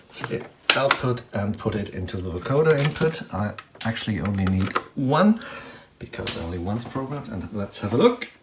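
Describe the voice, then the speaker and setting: A man's voice: fairly low pitch, sing-song, normal speed. A man giving a hands-on, unscripted synthesizer tutorial, thinking aloud as he works the gear. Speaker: demonstrator of a synthesizer patch. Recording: roomy echo, noticeable background noise, clicks.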